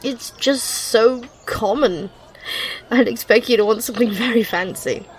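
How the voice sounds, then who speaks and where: A woman's voice: fairly high pitch, unhurried, slight background noise, close to an everyday voice. A woman playing a teasing, grudgingly fond thief with a dry, mock-impatient manner in an intimate ASMR roleplay. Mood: teasing, indulgent.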